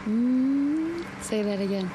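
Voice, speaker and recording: An actress playing a sharp, questioning and playful young newlywed wife in a radio drama. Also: female voice, high-pitched, takes it slowly. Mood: playful, affectionate. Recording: steady car road noise behind.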